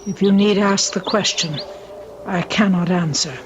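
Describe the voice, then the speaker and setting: An actress playing a cryptic, ominous manor housekeeper in an old radio drama, speaking gravely and formally. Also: female voice, mid-range voice, fairly even intonation, a little slow, close to an everyday voice. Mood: foreboding. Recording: dull, faintly noisy old radio recording. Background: birdsong.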